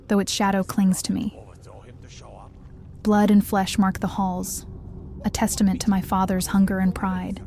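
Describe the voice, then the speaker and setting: A woman narrates as a young vampire in a fantasy roleplay, brooding and introspective. Her voice is mellow and very even, with faint ambience behind it. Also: medium pitch, a little hiss or hum, close to an everyday voice. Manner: solemn, introspective. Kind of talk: scripted first-person roleplay narration.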